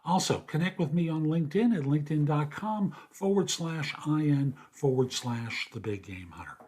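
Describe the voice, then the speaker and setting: An older man, a podcast host and career coach, gives plain-spoken advice in a raspy, hoarse voice. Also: a little high, normal speed. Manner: plain-spoken, steady, practical. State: hoarse after an illness. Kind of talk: casual advice monologue.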